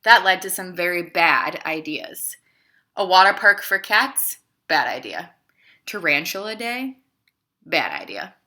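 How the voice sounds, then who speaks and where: A woman playing a clever, self-justifying male emperor who tells his side of a children's storybook tale in an expressive, confiding way. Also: lowish voice, a little slow. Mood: confiding, exasperated. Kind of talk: children's storybook read-aloud.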